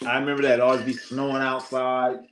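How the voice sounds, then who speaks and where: American man, medium pitch, casual live sports commentary, opinionated, blunt slang, repeated phrases for emphasis. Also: flat delivery, a little fast, a bit bright, a little hiss or hum. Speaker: sports livestream host.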